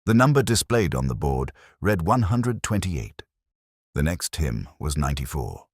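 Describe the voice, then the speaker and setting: Very deep man narrating with wry wit. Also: sing-song, normal speed, slightly rough, no echo, studio quality. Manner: wry, literary storytelling. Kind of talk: scripted narration of a comic village mystery.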